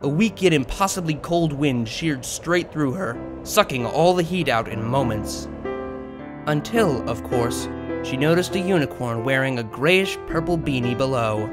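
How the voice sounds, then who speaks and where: A man's voice: mid-range voice, animated, unhurried, over music. A man reading scripted fiction as an audiobook narrator.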